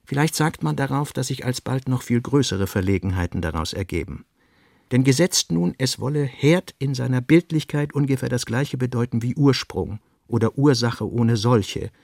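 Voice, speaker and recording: A man reading essayistic literary prose for radio with a measured, deliberate delivery. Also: medium pitch, creaky, a little mellow, no room sound, clean studio sound. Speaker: narrator.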